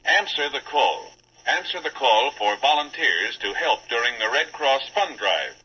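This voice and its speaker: A man's voice: mid-range voice, lively intonation, measured pace, pushed and bright. A man with an American accent reading scripted announcements and drama narration in a formal, declamatory old-time radio style. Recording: muffled old radio recording, clear hiss.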